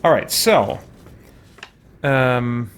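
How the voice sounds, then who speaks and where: A man's voice: medium pitch, lively intonation, drawn-out words, slight background noise. A man hosting a video game playthrough, musing and thinking aloud in casual commentary as if talking to himself. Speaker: video game let's player, host.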